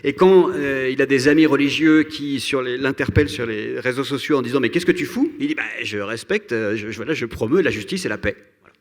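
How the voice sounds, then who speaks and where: A man interpreting live at a public event, calmly summarizing what the speaker said, with some fillers. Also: mid-range voice, a little fast, long reverb tail, a little hiss or hum.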